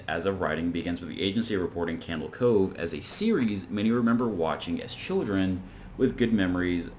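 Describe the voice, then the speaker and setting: A man's voice: deep voice, slight background noise. A man hosting an informal video essay, recapping and commenting in a rambling, conversational way. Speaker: video reviewer and host.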